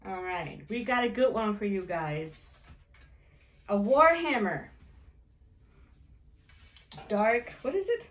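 A woman's voice: mid-range voice, sing-song, takes it slowly, pauses often. A woman co-hosting a reaction video, chatting casually with her partner. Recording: echoey room with faint background noise.

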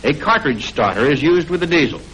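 An American man reading scripted 1940s instructional film narration in a formal, measured way, on an old film soundtrack. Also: a little high, animated, a bit bright, slight background noise, poor audio.

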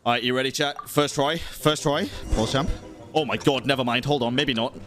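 A man's voice: mid-range voice, a little fast. A man giving casual, reactive live gameplay commentary to viewers. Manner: reactive, excitable, addresses viewers, swears. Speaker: game streamer. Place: indoor streaming setup. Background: game music.

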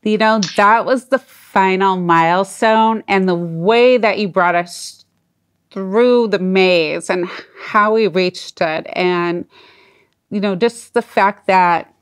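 Slow-talking middle-aged woman host. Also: mid-range voice, smooth. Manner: slow, warmly appreciative. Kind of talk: interview.

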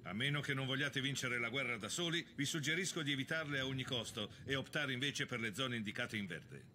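An actor playing a stern, authoritative wartime army officer giving a briefing in a dubbed video game. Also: male voice, mid-range voice, animated, brisk, natural voice. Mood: stern, businesslike. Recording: clearly noisy background ambience.

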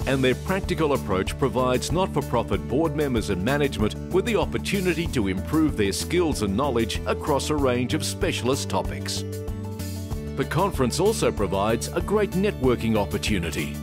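A man reading a scripted promotional voice-over in a smooth announcer style. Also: mid-range voice, sing-song, moderate pace. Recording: voice-over over music. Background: music.

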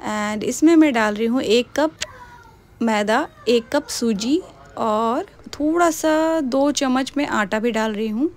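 A young woman casually narrating her home cooking in a voice-over, chatty and mixing in English words. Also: a little high. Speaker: cooking vlogger.

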